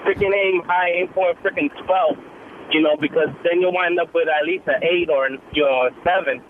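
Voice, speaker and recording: A man's voice: fairly high pitch, steady tone, brisk. A man calling in to a radio show over the phone, casual and insistent. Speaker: radio call-in caller. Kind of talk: casual, insistent call-in chat. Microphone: telephone. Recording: tinny phone line with street noise.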